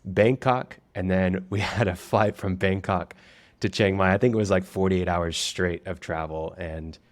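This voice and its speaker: Man, podcast host, very deep voice, casual rambling anecdotes, fillers. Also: fairly even intonation, unhurried.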